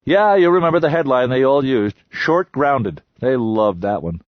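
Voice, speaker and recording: A man voicing a loud, smirking, sardonic genius full of quick wordplay, pitched well above his own voice. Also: expressive, dry recording, dull audio, natural voice.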